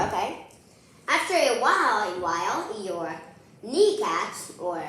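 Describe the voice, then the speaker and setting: A woman reading a picture book aloud to children in an expressive, playful way, speaking directly to her young listeners. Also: mid-range voice. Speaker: children's read-aloud host. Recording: very echoey room.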